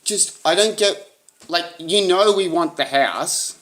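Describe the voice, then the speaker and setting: Man, high pitch, insistent, frustrated, arguing. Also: slow, a bit bright. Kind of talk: heated phone argument.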